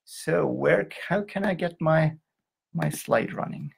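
Middle-aged guy from germany lecturing on a video call, gravelly and a bit husky, stops and restarts a lot. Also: on the high side, animated. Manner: halting, with restarts and fillers. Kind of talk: online lecture. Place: indoor room, video call.

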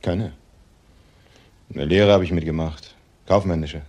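An actor playing a guarded, evasive male ex-convict in a crime drama, with a husky voice and hesitant, curt answers. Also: low voice, steady tone, unhurried, a little mellow.

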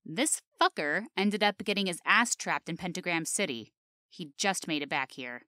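A woman's voice: lowish voice, lively intonation, moderate pace, sharp tone, no room sound, studio quality. A woman voicing a surly, impatient young hellhound in a cartoon audio drama, snappish and sarcastic. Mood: irritated.

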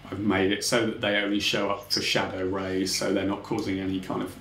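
A young man with a lowish voice walks through his 3D scene in an easy, informal tutorial, peppering his explanations with "kind of" and "um". He sits at a desk in a small home office, and the sound is roomy with a faint hum underneath. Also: completely flat delivery, fairly quick, a bit bright. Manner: informal, technical, frequent "kind of" and "um" hedges. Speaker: 3D artist explaining his work. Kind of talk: relaxed screen-recorded tutorial walkthrough.

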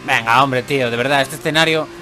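A man's voice: mid-range voice, steady tone, fast talker, music in the background. A man giving casual, chatty gameplay commentary who swears and grumbles when things go wrong. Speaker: gaming YouTuber.

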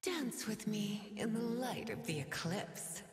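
A woman's voice: mid-range voice, animated, faint music behind. An actress playing a seductive, sadistic demon witch in a video game, speaking breathily and often whispering, with archaic, theatrical phrasing. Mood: dark, seductive. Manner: slow, sultry, archaic and theatrical. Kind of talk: video game character voice lines. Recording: heavily reverberant game voice processing.

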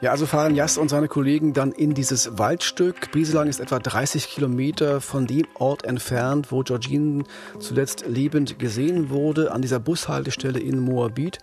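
A man hosting a crime podcast as a reporter, explaining a case conversationally with measured emphasis. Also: mid-range voice, fairly even intonation, darkish tone. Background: background music.

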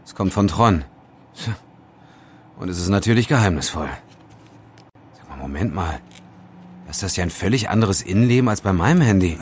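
A man's voice: low-pitched, lively intonation, moderate pace, long pauses, a little hiss or hum. An actor playing a sceptical, teasing young male student sidekick in an audio drama, casual and wry. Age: young adult. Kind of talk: German audio drama acting.

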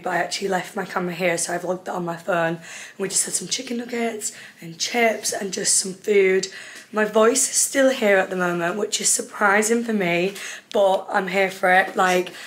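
A woman's voice: medium pitch, slight background noise. A young woman, talking casually to a handheld camera about her holiday in a rambling, upbeat way. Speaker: vlogger. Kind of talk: casual travel vlog to camera. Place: hotel room and outdoor restaurant on a party holiday. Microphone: handheld camera at arm's length.

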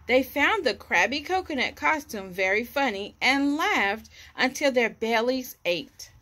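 A woman in her thirties reads a picture book to children. Her voice is high and lively, with bright tone, as she reads outdoors on a porch. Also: measured pace. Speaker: storybook reader for children. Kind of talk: read-aloud children's story. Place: outdoors on a covered house porch. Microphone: camera or phone at about arm's length.

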